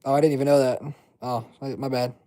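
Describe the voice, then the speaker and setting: A man's voice: mid-range voice, level tone, fast talker, mellow. A man in his twenties chatting casually on a livestream, reading chat and rambling in loose slang. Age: 20s. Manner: rambling, filler-heavy slang. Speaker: livestream host.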